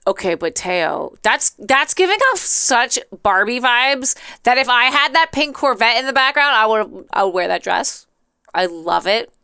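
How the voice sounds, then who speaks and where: A talkative woman hosts a casual livestream with a bright, forward voice that is strikingly clean and smooth. She rambles off on tangents and reacts expressively, with playful drama. The sound is close and dry. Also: a little high, dry recording. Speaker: live streamer. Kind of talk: casual chatty livestream commentary.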